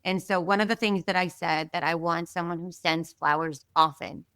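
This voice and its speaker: A woman from Israel tells a story as a podcast guest, animated and chatty, with plenty of asides. Her voice is smooth and clear, recorded close and dry. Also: fairly low pitch, normal speed, no echo. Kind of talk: casual storytelling.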